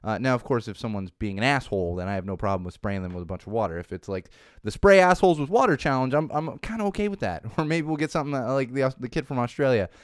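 Podcast host, young adult man from Southern California; wry, rambling monologue with restarts, smooth resonant voice in a dry booth. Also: medium pitch, lively intonation, quick pace, no echo. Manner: rambling, wry, self-deprecating, frequent restarts. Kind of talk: solo podcast monologue on social trends. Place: home studio.

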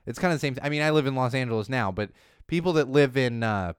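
A man hosting a casual news podcast in a studio, rambling and correcting himself as he goes, his voice a bit hoarse from losing it. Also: medium pitch, lively intonation, quick pace, dry recording. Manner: chatty, rambling, self-correcting. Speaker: podcast host.

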